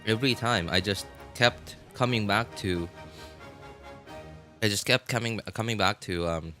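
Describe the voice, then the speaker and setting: A man livestreaming games in casual chat, talking in a halting, rambling way and often repeating himself. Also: lowish voice, sharp tone. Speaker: live game streamer. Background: music.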